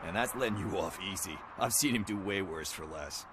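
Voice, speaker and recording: An actor playing a streetwise, gruffly caring police officer in a video game, with a wry, blunt, scolding manner. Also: male voice, sits low, a little fast, fairly bright tone, slight background noise. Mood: wry, teasing.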